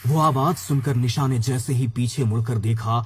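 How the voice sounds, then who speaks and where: A man's voice: mid-range voice, fairly even intonation, moderate pace, slight background noise. A man narrating a scripted horror story as its storyteller. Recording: background music.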